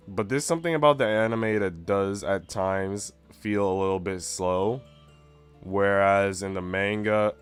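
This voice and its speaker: Male game streamer, lowish voice, informal and slangy, music playing behind him. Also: clear, resonant tone. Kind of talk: casual chat mixed with reading visual novel narration aloud.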